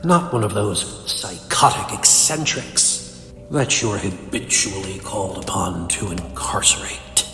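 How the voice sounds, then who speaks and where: A man's voice: lowish voice, pushed and bright. A man playing a cold, bitter, cerebral supervillain in a comic dub, speaking in a theatrical, condescending, verbose manner. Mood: contemptuous. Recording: echoey hall reverb, faint music behind.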